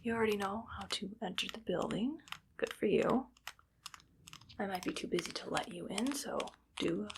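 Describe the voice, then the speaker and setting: A woman playing a menacing, condescending evil doctor in a soft, whispered ASMR roleplay, speaking close to the mic with deliberate phrasing. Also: medium pitch, creaky, background noise, close to an everyday voice. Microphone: close ASMR microphone.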